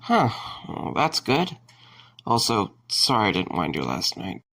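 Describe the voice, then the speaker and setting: A man playing a wry, concerned young man in an audio drama, speaking in a low-key, halting way. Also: huge pitch swings, creaky, fairly bright tone, full character voice. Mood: groggy, wry.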